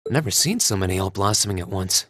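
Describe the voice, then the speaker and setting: A man's voice: deep voice, expressive, fast talker, a bit bright. Echoing, reflective young male student. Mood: quietly wondering. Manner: casual, reflective. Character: thoughtful, easygoing teenage male military academy student. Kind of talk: video game voice acting, musing aloud. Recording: roomy echo, faint background noise.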